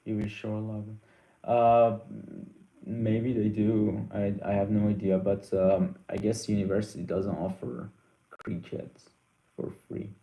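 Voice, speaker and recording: A young man whose first language is Persian, hosting a casual live Q&A, reading out viewer questions and answering haltingly with many fillers. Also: fairly low pitch, flat delivery, echoey room, lo-fi sound.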